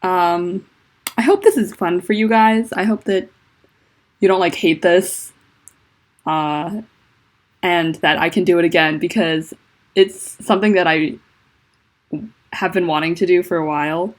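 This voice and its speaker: A woman with a deep voice and a lisp chats casually on a livestream from home, rambling and self-conscious, with lots of fillers. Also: measured pace, pauses often. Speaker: livestreaming fanfiction writer. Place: at home indoors. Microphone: laptop mic.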